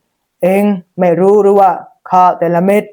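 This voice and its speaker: A woman's voice: medium pitch, level tone, normal speed, warm tone, close to an everyday voice. A woman voicing a stingy, stern old mother-in-law in a folk tale, with a hard, scolding manner. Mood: harsh, scolding.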